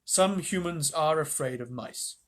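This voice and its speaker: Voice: mid-range voice, animated, fairly quick, fairly bright tone. A narrator plays a young king from a storybook, a grave and fair man. He speaks formally and decisively, with some huskiness.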